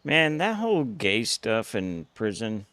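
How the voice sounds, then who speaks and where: A man's voice: fairly low pitch, big pitch swings, slow pace, bright, forceful tone, dry recording. A middle-aged man streaming games, talking bluntly and rambling, swearing freely as he plays. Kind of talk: casual gaming commentary.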